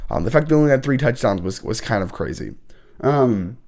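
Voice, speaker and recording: Hoarse sick young man hosting a sports show. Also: mid-range voice, animated, brisk, darkish tone. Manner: rambling, many fillers and restarts, clearing throat. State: sick, hoarse, losing his voice. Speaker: host. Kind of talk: casual sports commentary.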